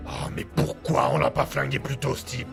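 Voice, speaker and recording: A man playing an aggressive, suspicious gangster in a French audio drama, coarse, slangy and quick to threaten. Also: mid-range voice, little pitch movement, vocal fry, over music. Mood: offhand. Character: aggressive male gangster thug, suspicious and short-tempered.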